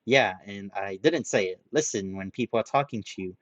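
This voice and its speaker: A weary young man who corrects others in a dry, exasperated way, read by a male narrator. The voice is low and plain, close-miked in a dead, dry space. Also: moderate pace, no echo, highs cut off, lightly acted.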